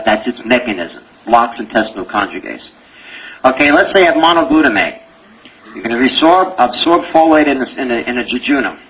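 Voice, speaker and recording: A man's voice: mid-range voice, a bit bright, a little hiss or hum, poor audio. A man teaching a medical exam review lecture, joking and quizzing his audience with rhetorical questions.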